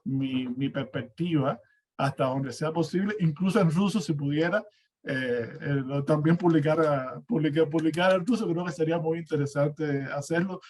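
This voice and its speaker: Hesitant Cuban man, higher-pitched voice. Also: moderate pace, a little mellow. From Cuba. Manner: hesitant, repeats words. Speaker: academic panelist and university teacher. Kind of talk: spontaneous academic discussion. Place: online seminar, remote call.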